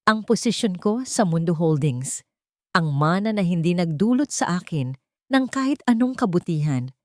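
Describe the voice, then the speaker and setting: A woman's voice: fairly low pitch, lively intonation, normal speed, a little mellow, dry recording, clean studio sound, natural voice. A woman voicing a remorseful billionaire man who renounces his wealth, speaking in an earnest, pleading way. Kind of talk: storybook dialogue read by narrator.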